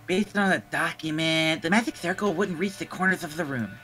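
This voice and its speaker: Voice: medium pitch, brisk, pushed and bright, slight background noise, close to an everyday voice. A performer voicing a mischievous teenage boy schemer in a game fan dub, needling and casually dismissive. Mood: sly, probing.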